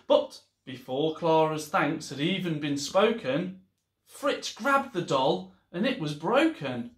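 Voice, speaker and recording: Echoey room, man, lively slightly high voice, slow storybook reading. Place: home living room.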